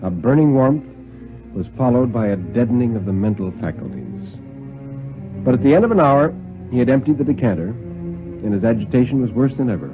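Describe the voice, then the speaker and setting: A man reads in a theatrical 1950s radio manner, with precise diction and widely swinging pitch. The sound is a narrow, muffled vintage recording with faint music. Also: very animated, fairly quick. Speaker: dramatic reader on a radio show. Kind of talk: 1950s dramatic story reading.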